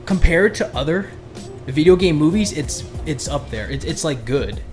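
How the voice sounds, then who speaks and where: A man's voice: on the high side, expressive, normal speed, music in the background. A man hosting a casual podcast discussion, rambling a bit and circling back to rephrase his points. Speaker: podcast host. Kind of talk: casual chat.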